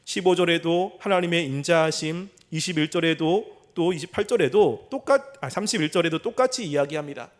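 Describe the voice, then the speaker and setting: A male preacher with a notably high-pitched voice for a man. He delivers an expository sermon in a measured way, explaining each point in formal, polite phrasing.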